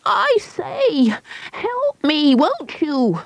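A woman's voice: high voice, wildly swooping pitch, drawn-out words, dry recording, pitched above the performer's own voice, full character voice, low-quality recording. A woman voicing a frightened young boy stuck up a tree, pleading and close to tears.

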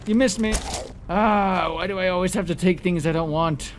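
A man's voice: sits high, normal speed. A man giving casual let's play commentary, playful and taunting his enemies as he exclaims. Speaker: gamer playing and commentating. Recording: clearly noisy background with game ambience.

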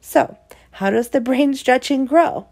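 Woman, high-pitched, creaky edge, expressive children's read-aloud, explanatory. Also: takes it slowly. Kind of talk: reading a children's picture book aloud.

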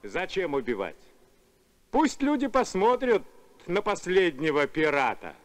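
A man voicing a noble, calm space captain in a cartoon, speaking in a measured, magnanimous manner. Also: high voice, full character voice. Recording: long echo, faint music.

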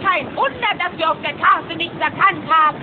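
A woman voicing an excited, curious duckling child in a German cartoon audio drama. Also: very high voice, normal speed. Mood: puzzled. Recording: muffled, noisy old cassette recording.

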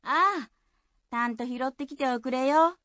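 An actress playing a practical village wife in a radio drama. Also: female voice, sits high, normal speed, pushed and bright, no echo. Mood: brisk.

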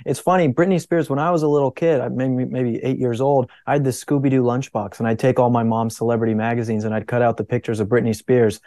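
A man's voice: mid-range voice, steady tone, fast talker, few pauses, mellow. A young American man giving conversational opinion commentary as a guest on a talk show. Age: young adult. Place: book-lined room indoors.

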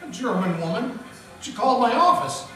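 A man narrating and playing a wisecracking hardboiled detective in a live 1940s radio play, deadpan. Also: very high voice, normal speed. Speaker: narrator and lead actor. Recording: echoey hall from far off with music.

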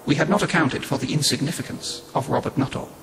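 A man playing a calm, formal, all-knowing otherworldly being in a sci-fi audio drama. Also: fairly high pitch, a little hiss or hum, natural voice. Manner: formal, measured, speaks as "we". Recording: echoey, music behind.

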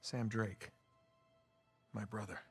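A man's voice: on the low side, fairly even intonation, slightly rough, full character voice. An actor playing a stubborn, earnest American treasure hunter in his thirties, in cinematic video game dialogue. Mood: sheepish, halting. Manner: halting, pleading when cornered. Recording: music under the dialogue.

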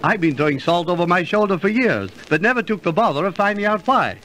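An actor playing an affable, curious, superstitious train passenger in a 1930s radio drama. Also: male voice, high-pitched, sing-song, fast, fairly bright tone. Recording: noisy old 1930s radio recording.

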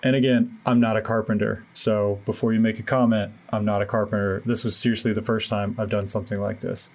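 A man in his thirties giving a relaxed, self-deprecating voice-over walkthrough of a home building project, with many fillers. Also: lowish voice, brisk. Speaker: DIY renovation vlogger.

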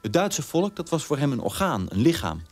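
An actor playing a reflective son in his twenties, speaking a measured monologue in a radio drama. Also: a little high, sing-song. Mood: solemn. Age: 20s. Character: reflective 28-year-old son remembering his quiet father.

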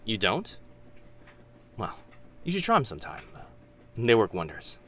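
A young man playing a teasing, kind-hearted telepath in a boyfriend ASMR roleplay, with hesitant, chatty talk and soft asides. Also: on the low side, wildly swooping pitch, normal speed, slight background noise, heavily acted. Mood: friendly, awkward. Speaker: voice actor in audio roleplay.

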